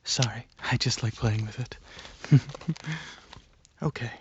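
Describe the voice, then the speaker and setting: A performer of unclear sex voicing a calm, polite dragon alien researcher in a soft, whispery, gently halting ASMR roleplay, close to the microphone. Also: mid-range voice, slight background noise. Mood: quiet, absorbed. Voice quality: soft, breathy, often whispery. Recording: close, dry, slightly muffled recording.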